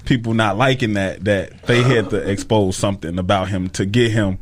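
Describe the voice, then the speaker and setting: A man chatting casually on a radio panel, speaking in a halting way and checking for agreement. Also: fairly low pitch, steady tone. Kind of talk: casual chat.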